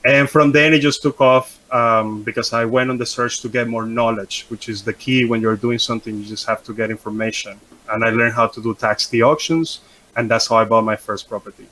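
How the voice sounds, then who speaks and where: A man's voice: mid-range voice, no room sound, low-quality recording. Venezuelan-born man telling his story. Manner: halting, many ums and restarts, relaxed. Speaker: guest. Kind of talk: casual interview storytelling.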